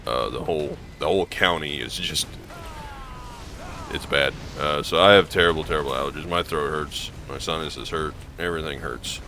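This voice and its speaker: Hoarse, gravelly low-voiced man. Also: moderate pace, sharp tone, a little hiss or hum. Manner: casual, meandering, lots of ums and restarts. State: hoarse from allergies. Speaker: gaming channel host. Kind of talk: rambling gameplay commentary.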